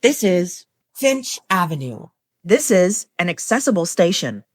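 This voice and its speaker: A woman reading scripted automated train announcements in measured, formulaic phrases. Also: fairly low pitch, sing-song, dry recording, clean studio sound. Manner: formulaic, measured delivery of short set phrases.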